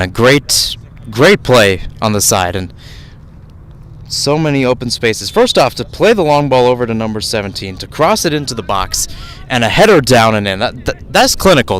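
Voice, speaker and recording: A man's voice: medium pitch, a bit bright. A man giving live radio play-by-play of a soccer match from outdoors at the field, calling it in short running phrases with asides. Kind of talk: live radio sports commentary.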